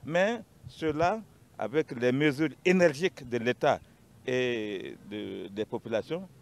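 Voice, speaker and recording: A man's voice: mid-range voice, animated, unhurried, creaky. A man with a Malian accent giving an unscripted account as a panel guest, emphatic and repeating his phrases.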